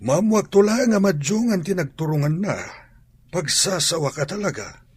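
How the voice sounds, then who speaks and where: Give me a husky, expressive father. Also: high voice, moderate pace, no echo, studio quality. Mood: suspicious. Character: concerned father and husband in a troubled family. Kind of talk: Ilocano radio drama acting.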